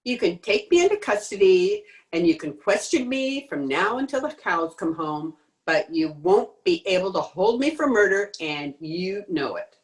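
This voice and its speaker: A woman's voice: on the low side, big pitch swings, normal speed, low-quality recording. A woman playing a tough, defiant swindler in an old-time radio crime drama, slangy, sneering and cocky. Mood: cocky, taunting. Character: brassy, defiant female swindler, tough and mocking. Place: home recording over video call.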